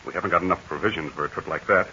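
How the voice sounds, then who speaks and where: An actor playing a brave, steady aviator hero in a 1940s radio serial, talking in a calm, assured and commanding way. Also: male voice, low voice, rapid-fire, slight background noise. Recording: dull lo-fi 1940 radio transcription.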